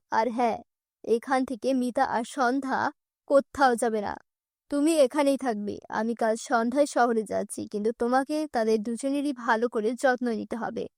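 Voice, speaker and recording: A kindly, protective uncle in a cartoon fairy tale performed by a woman narrator. The voice drops below her storytelling pitch and is firm and earnest, with no room echo. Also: darkish tone, studio quality, close to an everyday voice.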